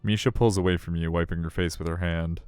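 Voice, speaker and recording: Deep, smooth-voiced man reading a story. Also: steady tone, fast talker, a little mellow, no echo, soft background music. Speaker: streamer narrating a visual novel. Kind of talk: live read-aloud narration mixed with casual chat.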